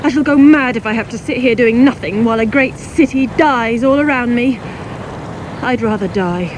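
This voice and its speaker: Actress as high-voiced, intense wife on the run. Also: female voice, moderate pace, close to an everyday voice. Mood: anguished, despairing. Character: anguished, stubborn wife fleeing a drowned Britain. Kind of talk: radio drama acting. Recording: noisy outdoor boat and water sound effects.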